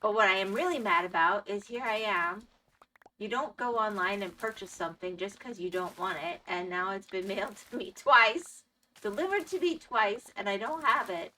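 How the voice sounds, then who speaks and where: Bright-voiced woman losing her voice. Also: mid-range voice, moderate pace, low-quality recording. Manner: chatty, reads and answers chat. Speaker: game streamer. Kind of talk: casual live-stream chat.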